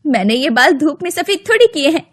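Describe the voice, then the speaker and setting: A woman's voice: sits high, sing-song, fairly quick. A woman voicing an older neighbor, a gossipy mother-in-law who complains with self-pity. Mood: complaining.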